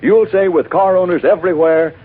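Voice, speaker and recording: A man reading a scripted sales pitch in 1940s radio announcer style. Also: steady tone, fairly quick. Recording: narrow, tinny old radio broadcast.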